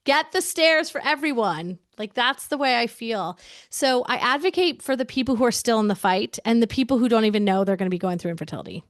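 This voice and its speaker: A woman's voice: on the high side, animated, brisk, dry recording. Chatty, emphatic woman with a dry sound. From United States. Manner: chatty and emphatic, with frequent "like" and self-interruptions. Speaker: guest. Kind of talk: candid conversational interview.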